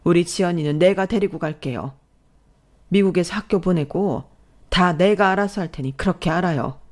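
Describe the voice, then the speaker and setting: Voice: mid-range voice, moderate pace, close to an everyday voice. A narrator voicing a long-suffering, fed-up wife and mother in dramatized storytelling dialogue. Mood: aggrieved.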